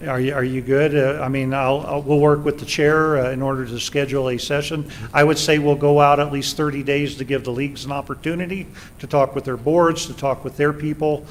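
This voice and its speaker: A man's voice: medium pitch, steady tone, a little fast, few pauses, slight background noise. A man speaking to a council committee, often repeating and restarting his words. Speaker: city official at meeting. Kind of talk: public meeting remarks. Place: city council chamber.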